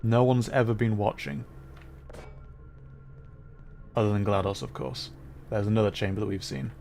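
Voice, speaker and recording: A man's voice: fairly low pitch, steady tone, a little fast, creaky, a little mellow, soft background music. A man giving casual live game commentary and chatting to viewers as a streamer. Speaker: livestreamer playing a video game.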